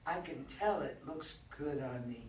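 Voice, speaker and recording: A woman playing a poor tenant who is wry, wheedling and pointed, speaking deliberately and insistently in a staged play reading. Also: low-pitched, darkish tone. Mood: wheedling, flattering. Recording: distant roomy live recording, background noise.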